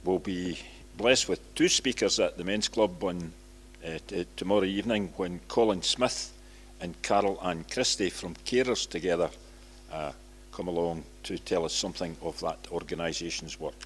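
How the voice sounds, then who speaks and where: An older man in his seventies with a Scottish accent, reading church notices formally and a little haltingly at a lectern microphone. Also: breathy edge. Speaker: church announcer. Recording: low hum and background noise.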